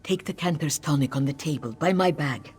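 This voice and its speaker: A man voicing a solemn cat-folk mystic in a video game, giving measured, urgent guidance. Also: a little high, darkish tone, natural voice. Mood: grave urgency. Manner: grave, measured, instructive. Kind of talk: scripted video game quest dialogue.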